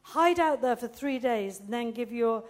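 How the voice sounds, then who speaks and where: A woman preaching a chatty sermon, loud, reading a Bible story aloud. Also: a little high, animated, a little slow.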